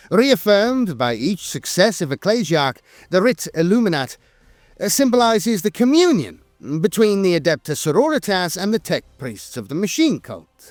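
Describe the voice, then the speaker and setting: A man's voice: on the high side, darkish tone, no echo, clean studio sound. A man narrating scripted lore in a solemn, formal and dramatic way.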